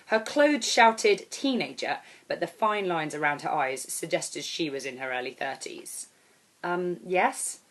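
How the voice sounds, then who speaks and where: A woman reading aloud as an author, in expressive storybook narration. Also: low voice, moderate pace, few pauses. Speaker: author reading own novel.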